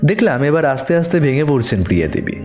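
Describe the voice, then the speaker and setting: Guy narrating a mystery drama, kinda high voice, steady and measured. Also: soft background music.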